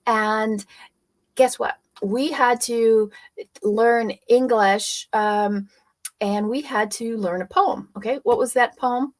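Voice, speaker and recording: A woman from Europe whose native language is German, giving an energetic, persuasive motivational business talk and often checking in with "okay?". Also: fairly high pitch, slow pace. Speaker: business coach.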